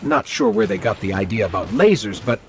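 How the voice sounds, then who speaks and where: A man's voice: on the low side, wildly swooping pitch, brisk, creaky, full character voice. A man playing a small, chatty robot companion in a video game, sarcastic and quippy. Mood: sarcastic, dry. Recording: gameplay noise and gunfire underneath.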